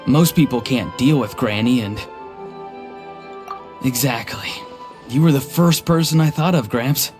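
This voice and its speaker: Guy doing earnest deadpan young dude from a video game, kinda odd n literal, music behind him. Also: medium pitch, a little slow, long pauses, close to an everyday voice. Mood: gloomy, earnest. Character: earnest, deadpan young grandson, quaintly dramatic. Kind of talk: scripted video game dialogue. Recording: background music under voice.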